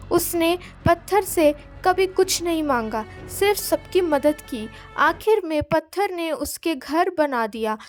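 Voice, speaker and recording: A woman narrating a scripted children's story as a storyteller. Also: sits high.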